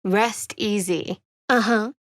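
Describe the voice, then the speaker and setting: A woman playing a guarded, devout young female cleric in a fantasy role-playing game, with a measured, dry and wry delivery. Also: medium pitch, no echo, natural voice. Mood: terse, wary.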